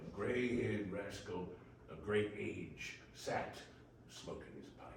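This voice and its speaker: A man's voice: on the low side, lively intonation, slow pace, creaky. A man giving scripted story narration for readers theater, recorded at a distance in a very echoey room. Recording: very echoey room, distant mic, faint noise.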